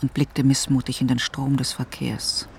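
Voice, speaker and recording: A man's voice: medium pitch, level tone, normal speed, gravelly, a little hiss or hum. A man narrating a literary radio drama from a script.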